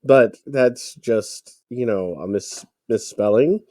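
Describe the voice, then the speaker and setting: A man's voice: mid-range voice, slow, dark tone, no echo, studio quality. A man co-hosting a podcast, explaining things informally in casual chat. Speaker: podcast co-host.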